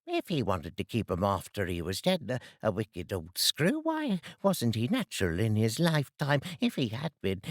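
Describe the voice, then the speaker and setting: A man voicing a coarse, greedy charwoman in a storybook reading, high and scornful. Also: vocal fry, dry recording, clean studio sound, exaggerated voice.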